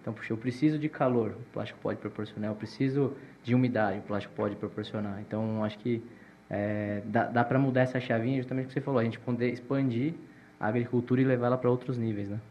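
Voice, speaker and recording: Fast-talking guy cohost, lively, plays out little quotes, muffled sound. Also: medium pitch, a little hiss or hum. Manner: fast, animated, acts out quoted thoughts. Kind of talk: casual interview chat.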